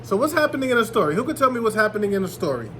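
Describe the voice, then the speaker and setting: Male storybook reader: high pitch, lively intonation, expressive, explanatory classroom delivery. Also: racing, slight background noise. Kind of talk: children's read-aloud narration with questions to listeners.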